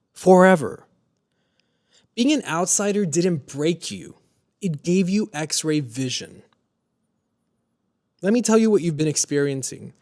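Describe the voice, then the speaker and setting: Man, Spanish native from Mexico, slightly high-pitched; motivational storyteller, scripted, dramatic dynamics. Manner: dramatic, swings between soft and emphatic delivery. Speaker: motivational speaker. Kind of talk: scripted personal storytelling monologue.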